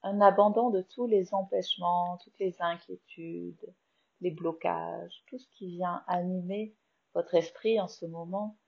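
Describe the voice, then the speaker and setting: Casting: French woman, meditation teacher, very slow calm delivery, slightly muffled lo-fi sound. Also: on the low side, gravelly, husky. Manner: slow, soft, soothing guidance with long pauses. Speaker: yoga nidra teacher. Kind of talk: guided meditation.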